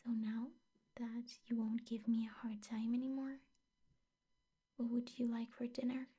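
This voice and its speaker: A young woman playing a possessive, sweetly menacing teacher girlfriend in an ASMR roleplay, speaking softly, intimately and often in whispers. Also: fairly high pitch, heavily acted. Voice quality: soft, breathy, often whispered. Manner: slow, intimate, coaxing with veiled threats.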